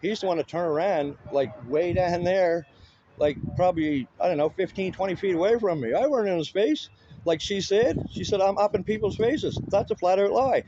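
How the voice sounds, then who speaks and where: High-pitched man, insistent and colloquial. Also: normal speed, dark tone, muffled recording, slight background noise. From Canada. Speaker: citizen auditor filming police. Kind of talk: casual argumentative conversation. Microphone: handheld camera.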